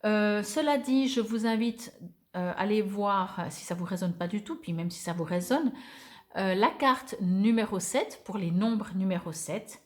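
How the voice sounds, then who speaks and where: A woman's voice: medium pitch, measured pace, a little mellow. A woman giving a weekly oracle card reading, thinking aloud with many hesitations as she reads card texts and comments on them.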